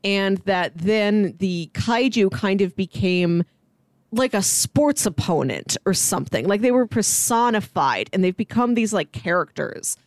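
A woman's voice: medium pitch, animated, a little slow, no echo. A woman with a mid-range voice chats about films as a podcast co-host. Her delivery is casual and conversational, and the sound is dry, with very little room in it. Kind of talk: casual film discussion.

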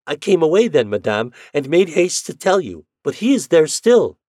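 Man voicing an eager young errand boy, earnest, lively pitch, dry close sound. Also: normal speed, no room sound.

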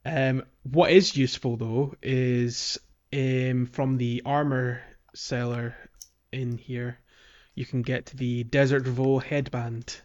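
A man co-hosting a casual gaming podcast, chatting in a relaxed way with frequent ums and small laughs. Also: mid-range voice, takes it slowly. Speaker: podcast co-host. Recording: muffled lo-fi podcast audio.

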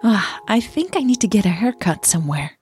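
A woman voicing a playful, flirty younger woman in an audio romance, breathy, soft-spoken and teasing. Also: medium pitch, very animated, a little fast, soft background music, voice pushed up, natural voice. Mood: musing.

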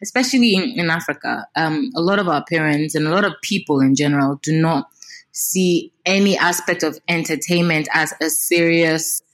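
Expressive Nigerian woman, lowish voice. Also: normal speed. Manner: expressive, animated, repeats words while thinking. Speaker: singer, interview guest. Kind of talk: casual interview talk.

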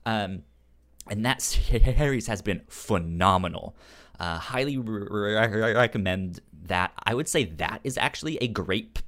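A man chatting casually about comics as a podcast host, with a stutter that repeats sounds and syllables in a halting, animated delivery. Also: fairly low pitch. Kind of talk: casual comic book discussion.

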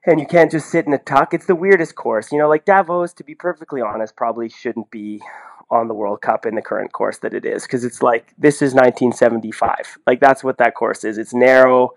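A man's voice: medium pitch, steady tone, fairly quick, creaky. A man talking casually and bluntly about sports as a podcast commentator, incredulous and full of rhetorical questions and the word "like". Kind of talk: casual opinionated sports talk.

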